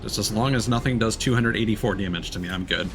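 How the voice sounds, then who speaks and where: A man's voice: on the low side, sing-song, moderate pace, noisy background. A man streaming a video game with casual, reactive commentary that rambles and breaks into exclamations. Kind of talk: casual live gameplay commentary. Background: game music and sound effects.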